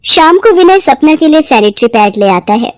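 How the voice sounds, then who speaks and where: A woman tells a scripted tale as narrator. Her voice is high, and the recording is dry and close, with almost no room sound. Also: expressive, normal speed, dry recording. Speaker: storyteller.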